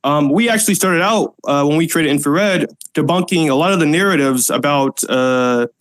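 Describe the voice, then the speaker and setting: A man arguing loudly and combatively in an online debate, often talking over others. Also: a little high, normal speed. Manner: loud, interrupting, combative. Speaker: political commentator debating callers.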